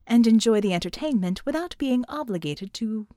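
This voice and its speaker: A woman narrating a scripted audiobook novel in a measured, expressive storytelling manner. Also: fairly high pitch, dry recording, clean studio sound.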